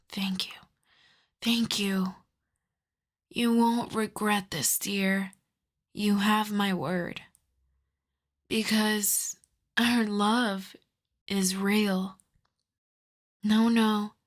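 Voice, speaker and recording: A woman voicing a lovesick, possessive female vampire in an intimate ASMR roleplay, hushed and often slipping into whispers. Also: mid-range voice. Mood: tender, possessive. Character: lovesick female vampire, sorrowful, pleading, possessive, tenderly menacing.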